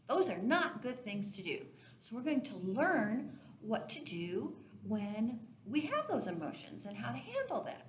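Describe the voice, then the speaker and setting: A woman reading a picture book aloud to young children as a storyteller, expressive and deliberate, stressing key words and speaking directly to her listeners. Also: a little high. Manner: talks directly to young listeners, asking simple questions. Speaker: children's storyteller for a church Sunday school.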